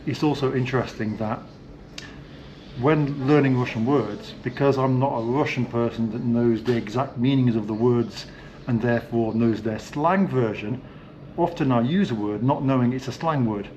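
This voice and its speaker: A man's voice: medium pitch, normal speed, a little mellow, dull audio, a little hiss or hum. Chatty British man on a walkabout. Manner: chatty, loose, with frequent fillers. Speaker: vlog host. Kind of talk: casual walking-tour vlog. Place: indoors, hotel and sauna cellar.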